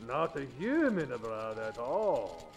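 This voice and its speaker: A man's voice: medium pitch, huge pitch swings, slow, faint music behind, heavily acted. A man playing a courtly, pompous detective general in old-time radio comedy, speaking formal, stilted broken English. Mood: pondering. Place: theatre stage. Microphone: vintage-style stand microphone.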